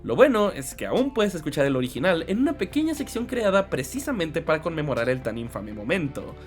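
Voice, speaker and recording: Animated young man narrating. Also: fairly high pitch, fairly quick. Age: 20s. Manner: mock-serious, conversational, sarcastic asides. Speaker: video essay narrator. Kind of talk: humorous scripted narration. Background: background music.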